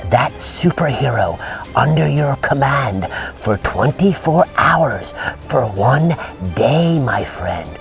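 A man's voice: mid-range voice, lively intonation, takes it slowly, vocal fry, faint music behind, pitched above the performer's own voice. A man voicing a sly, scheming alien symbiote, speaking in oily half-whispers. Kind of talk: toy play voice acting.

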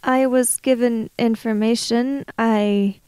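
A woman improvising in-character dialogue in a tabletop roleplaying game, in measured, formal phrases with pauses. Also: on the high side, fairly even intonation, dark tone, smooth, no room sound.

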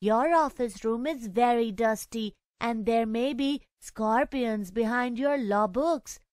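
A man's voice: very high voice, slow, dry recording, studio quality, voice pushed up. A man voicing a frightened young schoolboy who pleads anxiously with his father. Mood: pleading.

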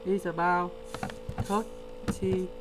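A man's voice: high-pitched, over music, poor audio. A man with a delivery, explaining a word problem step by step in a screen-recorded lesson. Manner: slow, step-by-step explaining with pauses. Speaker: tutor. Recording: steady electrical hum behind.

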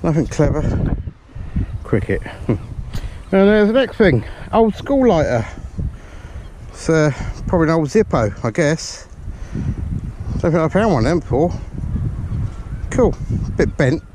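A man with an excitable, chatty manner, giving running commentary to viewers while metal detecting on a beach, recorded on a body-worn camera outdoors. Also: fairly high pitch, measured pace, long pauses. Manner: excitable, swears when thrilled, chatty asides to viewers. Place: outdoors on a pebble and sand beach at dusk. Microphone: body-worn action camera. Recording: outdoor beach recording with clear background noise.

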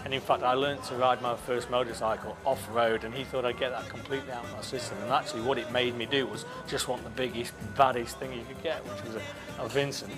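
A man's voice: mid-range voice, fairly even intonation, quick pace. A middle-aged man and motorcycle club member, telling his memories in an interview, chatty and laughing easily, with frequent restarts. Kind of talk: interview recollection. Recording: music playing underneath.

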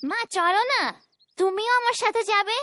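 Woman voicing a very high, eager little girl. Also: normal speed, noisy background. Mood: delighted, eager. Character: excited, curious little village girl. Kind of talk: cartoon fairytale voice acting. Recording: music behind the voice.

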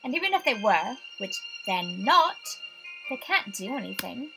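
Woman playing an impatient, high-voiced young realtor. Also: moderate pace, creaky, music in the background, low-quality recording. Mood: dismissive. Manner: animated, teasing, pushy. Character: impatient, teasing young female realtor on the phone.

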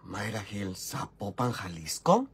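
A man's voice: on the low side, big pitch swings, slow, a bit bright. A man in his forties with a Mexican accent and a cold, chatting casually and swearing freely as he greets viewers while doing makeup at home on a phone camera. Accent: Mexican Spanish. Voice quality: gravelly. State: has a cold. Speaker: makeup vlogger. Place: indoor home makeup room.

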